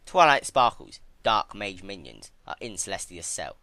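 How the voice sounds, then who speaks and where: A man narrating a story in an expressive, dramatic reading style. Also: low-pitched, normal speed, no echo. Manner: animated, expressive delivery. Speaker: fan fiction reader.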